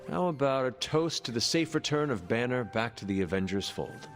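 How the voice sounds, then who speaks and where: An actor playing a formal, old-fashioned young man in a video game, the son of a demon queen, with a gravelly voice. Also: mid-range voice, lively intonation, moderate pace, soft background music, lightly acted. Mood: calm. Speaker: game character. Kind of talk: video game cutscene acting.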